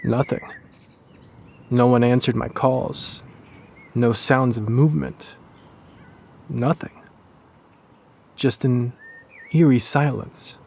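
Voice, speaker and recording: A man's voice: medium pitch, fairly even intonation, slow pace, creaky, long pauses, a little mellow, slight background noise. A man playing a bitter, brooding Polish resistance executioner narrating his wartime journal in a dramatic audiodrama. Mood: grim, tense.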